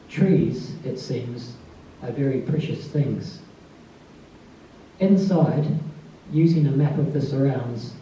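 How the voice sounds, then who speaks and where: A soft-spoken man who gives a tribute introduction at a literary event and then reads a short piece aloud. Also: fairly high pitch, slight background noise. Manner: soft-spoken, calls his voice mousy. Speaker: author giving a tribute reading. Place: festival event space. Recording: distant, dull, very echoey room.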